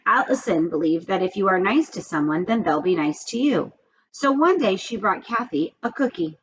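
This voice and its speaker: A woman's voice: medium pitch, lively intonation, normal speed, highs cut off, slight background noise. A woman reading a children's storybook aloud, expressively and with asides, speaking straight to young listeners.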